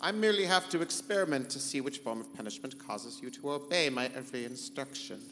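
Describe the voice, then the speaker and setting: A man's voice: mid-range voice, expressive, fast talker, a bit bright. A man playing a pompous, condescending rogue ship computer with grand formal speech in a live pulp sci-fi radio comedy. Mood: smug, threatening. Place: live convention stage. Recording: echoey hall, noise and hum.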